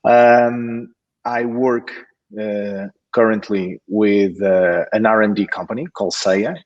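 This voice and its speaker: A man from Portugal speaks on an online panel over a video call. His voice sits low and his talk is halting, with frequent fillers and restarted phrases. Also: steady tone, lo-fi sound. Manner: hesitant, many fillers and restarts.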